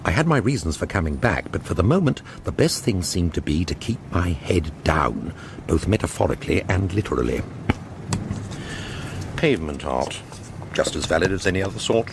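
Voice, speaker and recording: Droll British fugitive painter, noisy recording. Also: male voice, low-pitched, big pitch swings, normal speed, gravelly, heavily acted. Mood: wry, confiding. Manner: droll, self-justifying, verbose. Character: wry, evasive fugitive painter reduced to pavement art. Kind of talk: radio drama acting with confiding narration.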